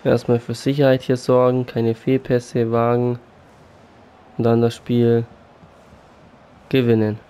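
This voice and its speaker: A man who is sick with a sore throat commentates his video game football match in a subdued way. His voice is smooth and dark, and he holds it to a narrow, flat pitch. Faint game music sits behind him. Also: takes it slowly, pauses often. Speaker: gaming YouTuber. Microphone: USB condenser mic.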